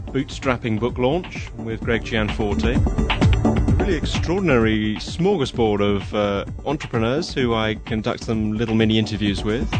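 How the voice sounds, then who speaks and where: Chatty man hosting a podcast. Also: lowish voice. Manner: chatty, with frequent fillers and restarts. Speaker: podcast host. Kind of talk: casual podcast narration.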